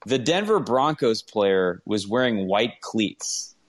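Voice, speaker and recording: A man's voice: lowish voice, big pitch swings, takes it slowly. Man bantering emphatically about sports. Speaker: sports show host. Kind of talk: casual sports fan chat.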